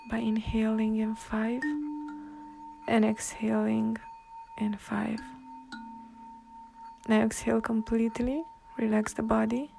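A woman guiding a meditation in a soft, hushed, breathy way, leaving long pauses between instructions. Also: a little high. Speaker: meditation guide. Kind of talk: guided meditation narration. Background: soft meditation music.

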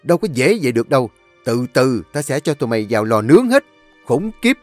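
A man voicing a furious young master of a rich household who yells curses. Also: medium pitch, sing-song, fast, faint music behind, natural voice. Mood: enraged. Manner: yelling, cursing.

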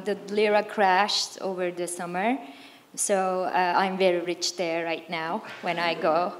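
Woman from Turkey, flat low voice, chatty and wry, faint clicks. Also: a little hiss or hum. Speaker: audience member. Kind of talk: comment from the floor.

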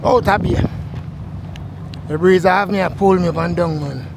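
A middle-aged Jamaican man vlogging excitedly while parasailing over the sea, talking to viewers into an action camera held at arm's length. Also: high voice, moderate pace, vocal fry, noisy background. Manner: excited, repetitive, exclaiming to viewers. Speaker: travel vlogger. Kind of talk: excited travel vlog.

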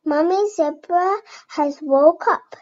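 A woman reading a children's picture book aloud, with deliberate, word-by-word phrasing. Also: extremely high pitch, a little mellow. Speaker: storybook reader. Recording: dull lo-fi home recording.

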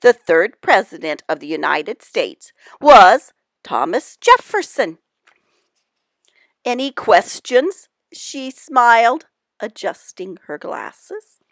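Animated woman reading a children's storybook slowly. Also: mid-range voice, no room sound. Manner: deliberate, dramatic pauses, animated emphasis for young listeners. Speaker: teacher reading a picture book aloud. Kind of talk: expressive children's storybook read-aloud.

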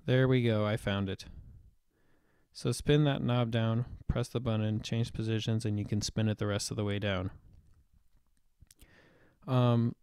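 A young man explaining software setup step by step in a screen-recorded tutorial voice-over, with the odd "um". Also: lowish voice, fairly even intonation, measured pace, pauses often, clean tone, no echo, studio quality. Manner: explanatory, step-by-step, with occasional fillers. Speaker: tutorial presenter.

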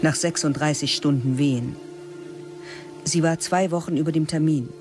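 Stern older teacher , an actress, clipped and dry, soft music beneath. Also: female voice, sits low, steady tone, moderate pace, natural voice. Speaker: narrator and lead. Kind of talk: radio play narration and acting. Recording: radio play with music underneath.

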